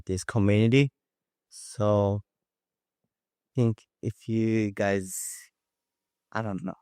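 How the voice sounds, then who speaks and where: A teenage boy with a Japanese accent, an international student answering panel questions in a halting, hesitant way. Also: medium pitch, clear, resonant tone, no echo, studio quality. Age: teens. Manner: hesitant, halting, many fillers.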